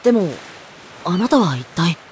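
A teenage boy hero from an anime game, expressive and lively in intonation. He sounds earnest, naive and gently polite, with some faint hiss in the recording. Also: on the low side, normal speed. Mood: hesitant, grateful. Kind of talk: Japanese anime game voice acting.

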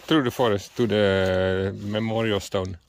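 A man's voice: on the low side, steady tone, measured pace. A man whose first language is Dutch, chatting playfully while walking in a forest and searching for English words. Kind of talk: casual chat. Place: outdoors in a forest.

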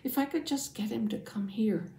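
Woman playing anxious devout father, earnest Bible storyteller, hushed phrase endings, mellow tone, roomy echo. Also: on the high side, normal speed, creaky, slight background noise, voice pushed up. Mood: anxious, urgent. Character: devout anxious father, synagogue leader, telling his testimony. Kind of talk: first-person Bible storytelling for families. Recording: roomy, echoing sound.

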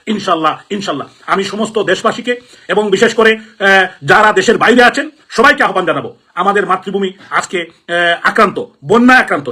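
A man with a high, sharp-edged voice and a Bangladeshi accent delivers an impassioned political address. He speaks forcefully and indignantly, hammering his points through repetition like an elected politician appealing to the public. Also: breathy edge. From Sylhet, Bangladesh.

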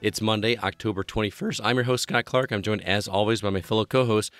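A man hosting a video game podcast in casual chat, his voice slightly hoarse, upbeat and chatty, often trailing off low at phrase ends. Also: steady tone, quick pace, a bit bright. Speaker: podcast host.

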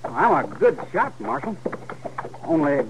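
High-voiced, drawling young frontier lad. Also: male voice, big pitch swings, unhurried, background noise. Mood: willing. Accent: American rural Western. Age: young adult. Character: defiant, earnest frontier teenage son. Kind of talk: 1950s radio western acting. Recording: old 1950s radio recording.

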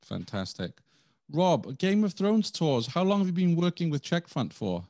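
A man hosting a casual interview over a video call from a home office, asking quick friendly questions. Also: a little high, big pitch swings, warm tone, no room sound. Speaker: podcast host.

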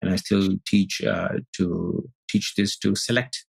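A middle-aged man from Singapore, a teacher speaking as a podcast guest over a video call, thoughtful and halting with many restarts. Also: low-pitched, steady tone, creaky, slightly rough, no room sound. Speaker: guest, Advaita Vedanta teacher. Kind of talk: casual interview talk. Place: indoor room on video call.

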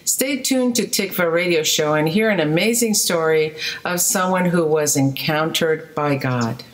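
A woman hosting a radio interview show, asking questions in a calm, measured way. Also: low-pitched.

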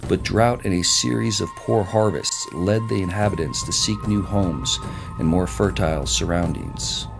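Deep gravelly male documentary narrator. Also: normal speed. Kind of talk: scripted travel documentary narration. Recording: narration over loud music.

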